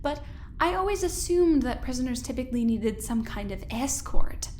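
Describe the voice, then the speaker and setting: A woman's voice: high-pitched, lively intonation, moderate pace, a little mellow, a little hiss or hum. A woman playing a cordial, probing doctor and visiting scientist in an audio drama, polite and chatty with a pointed edge. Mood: friendly, probing.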